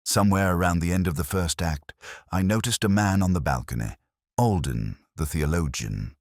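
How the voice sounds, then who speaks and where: A man playing a brooding, erudite middle-aged detective who narrates his case in a measured, literary, reflective way, as scripted mystery narration. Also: low voice, expressive, dry recording, studio quality. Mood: watchful, pensive.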